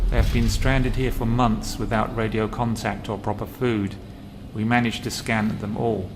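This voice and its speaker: A man's voice: fairly low pitch, natural voice. An actor playing a weary male crewman who dictates a log in a video game. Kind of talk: game voice acting, log entry.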